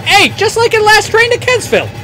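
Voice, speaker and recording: Worried railroad engineer, very high voice, animated, radio serial, faint music. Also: male voice, brisk, heavily acted. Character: anxious, practical train engineer, adult man. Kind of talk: old-time radio drama acting.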